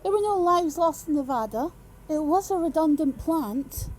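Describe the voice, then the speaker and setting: A woman's voice: high voice, animated, moderate pace, darkish tone, a little hiss or hum. A woman playing a concerned, loyal female crew member in an audio drama, speaking earnestly. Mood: worried, earnest.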